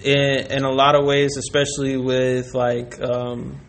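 A man with a medium-pitched voice answers questions in a relaxed, casual conversation. He thinks aloud, feeling his way through in hesitant, halting phrases. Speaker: interview guest. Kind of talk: casual chat about personal experience.

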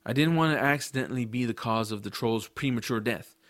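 A man's voice: mid-range voice, fairly quick, a bit bright, dry recording, close to an everyday voice. Audiobook lead, male actor: sincere young hero, first-person storyteller, medium register, dry studio sound. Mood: narrating, disgusted. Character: earnest, kind-hearted adventurous boy narrating his story.

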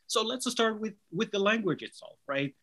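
Man, bright forceful voice, earnest, persuasive, interview guest. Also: high voice, animated, moderate pace. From Somalia. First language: Somali. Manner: earnest, persuasive, rhetorical "right?" tags. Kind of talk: conversational interview answer.

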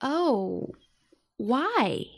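An actress playing a blunt, teasing, loyal young tomboyish sister with an American accent, in a naturalistic modern audio drama. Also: female voice, sits high, sing-song, drawn-out words, gravelly, full character voice. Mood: playful, sympathetic. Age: young adult. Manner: casual, playful, frank.

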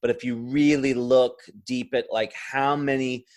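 A man's voice: mid-range voice, little pitch movement, a little slow, a little mellow. Man chatting discursively as a podcast guest. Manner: discursive, thinks aloud with frequent you-knows. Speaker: interview guest, drag performer. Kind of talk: casual podcast conversation.

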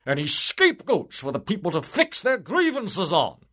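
A man putting on a pompous, high-pitched politician's soul. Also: huge pitch swings, moderate pace, fairly bright tone, slightly rough, heavily acted. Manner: haughty, indignant, grandiose. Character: pompous corrupt male politician's soul, self-important.

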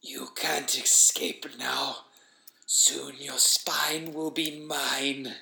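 A man voicing a sinister, vengeful creature in a horror story, with a croaking, wheezing, crackling voice and labored, menacing delivery. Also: mid-range voice, flat delivery, bright, forceful tone, roomy sound, pitched above the performer's own voice, full character voice. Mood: bitter, vengeful. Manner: slow, labored, menacing speech. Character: sinister, vengeful hollow-eyed creature lurking in a chapel.

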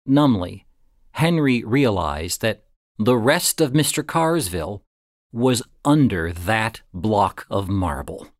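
A man narrating a story in a deliberate, dramatic way, with expressive phrasing and suspenseful pauses. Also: on the low side, no echo, studio quality. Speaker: audiobook narrator.

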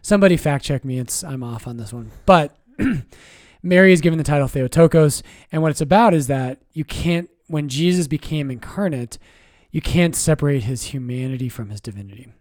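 Relaxed priest, dry mic. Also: male voice, a little high, moderate pace, no room sound. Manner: relaxed, explains doctrine with jokes and self-corrections. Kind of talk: casual podcast chat.

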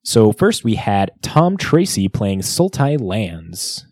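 A man with a lowish, relaxed and mellow voice, co-hosting a podcast. He talks casually and jokes as he goes through a recap. The sound is close and dry. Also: slow, no room sound. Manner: jokey, bantering. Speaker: podcast co-host.

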